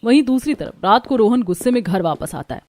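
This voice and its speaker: A woman's voice: fairly high pitch, animated, fairly quick, a little mellow, no room sound. A woman narrating a scripted cartoon story.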